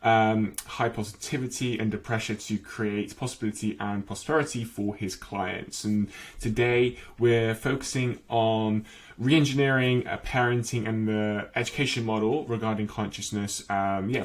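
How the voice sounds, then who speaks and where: A man hosting a podcast interview, soft-spoken and using many fillers. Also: fairly low pitch. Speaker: podcast host.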